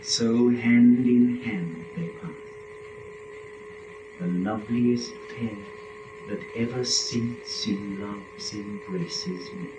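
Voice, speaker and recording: A man reciting and narrating epic poetry in a measured, dramatic manner with weighty pauses between phrases. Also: lowish voice, steady tone, background noise. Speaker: performer and narrator of a poetry reading. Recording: roomy live recording, distant from microphone.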